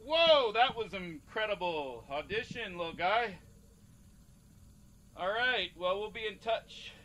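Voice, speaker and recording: A man's voice: sits high, expressive, takes it slowly, bright, forceful tone, full character voice. A man playing a casual casting director who stumbles and repeats himself in improvised comedic game acting. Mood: offhand, businesslike. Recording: noisy cassette-tape recording.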